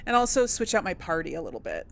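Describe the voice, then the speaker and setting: A woman's voice: fairly low pitch, wildly swooping pitch, quick pace, creaky, slight background noise. A woman hosting a let's play, giving chatty, informal gameplay commentary and casually role-playing her replies.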